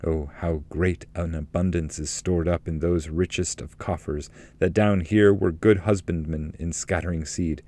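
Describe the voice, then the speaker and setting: A man reading epic poetry as a narrator, solemn, with measured and deliberate phrasing. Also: sits low, fairly even intonation, a little mellow.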